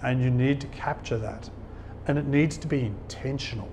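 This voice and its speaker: A man's voice: mid-range voice, normal speed, darkish tone, slight background noise. A middle-aged man giving an informal, conversational talk to an audience in a function hall, telling anecdotes and chuckling at his own stories. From Australia. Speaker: guest speaker at a business networking event.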